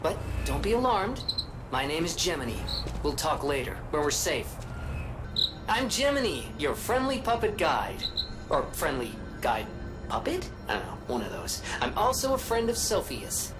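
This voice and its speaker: A man's voice: on the high side, huge pitch swings, moderate pace, music in the background. A man voicing a friendly, chatty mechanical cricket guide puppet in a video game, informal and jokey. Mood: chatty, reassuring. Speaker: guide companion. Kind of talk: video game character acting.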